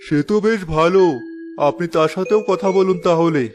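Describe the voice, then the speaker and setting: A man's voice: sits high, moderate pace, darkish tone, natural voice. A man voicing an obliging middle-aged father in a cartoon family story. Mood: eager, pleased. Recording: music behind the voice.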